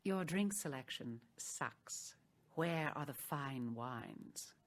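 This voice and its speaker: A woman's voice: low-pitched, lively intonation, slow. A woman voicing a proud, solemn noblewoman in a fantasy video game. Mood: haughty. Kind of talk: video game voice-over session.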